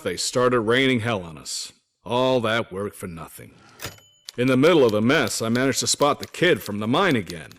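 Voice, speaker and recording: A man acts out a weary male survivor and former soldier from a video game, telling his story as letters. His voice sits on the low side. He talks in a folksy, wry, grumbling way, like a storyteller resigned to bad luck. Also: lightly acted. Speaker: voice actor reading journal notes. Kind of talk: dramatic first-person letter narration.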